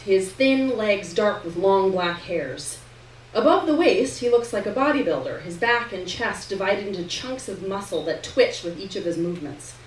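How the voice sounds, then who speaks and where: Woman reading a story out loud, roomy and far from the mic, dramatic pauses. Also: medium pitch. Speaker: reader performing a novel chapter to an audience. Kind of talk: expressive literary reading, first-person narration. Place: live reading event in a room. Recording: roomy, distant mic, faint noise.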